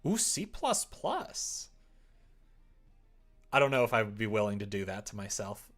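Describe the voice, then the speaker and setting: A creaky-voiced livestreamer chatting casually and thinking aloud while coding, rambling, muttering and a little self-deprecating. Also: medium pitch, very animated, unhurried, a bit bright, soft background music. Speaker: livestreamer making a game.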